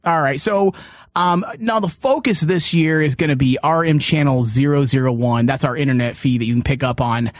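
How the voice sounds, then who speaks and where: A male podcast host with a medium-pitched voice chats casually and off the cuff. He rambles conversationally in a close, dry recording. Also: normal speed, dry recording. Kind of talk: casual, unscripted show chat.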